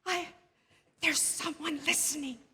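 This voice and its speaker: A woman's voice: high-pitched, steady tone, a little slow, bright, forceful tone. An actress playing a terrified, desperate woman in a suspense radio drama, breathless and stammering as she pleads for help. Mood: frantic pleading. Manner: breathless, stammering, repeating words in panic. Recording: noisy live theatre stage recording.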